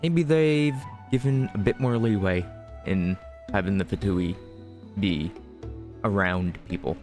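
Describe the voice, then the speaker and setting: A low, unhurried male voice over clear game music. The man is a streamer chatting casually through his play, thinking aloud and dropping wry, sarcastic asides. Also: a little mellow. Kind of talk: casual gameplay commentary.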